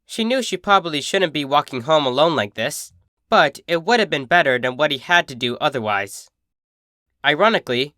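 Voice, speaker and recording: Bright, lively storybook narrator. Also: medium pitch, no room sound, clean studio sound. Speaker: fan fiction reader and narrator.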